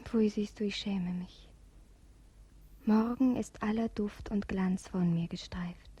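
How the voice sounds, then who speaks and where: Wistful young princess, mellow husky voice, lyrical and hushed, 1950s radio-play style. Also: female voice, medium pitch, steady tone, slow pace, no echo. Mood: anguished. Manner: dreamy and lyrical, often sinking to a breathy whisper. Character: melancholy young princess fleeing an arranged marriage.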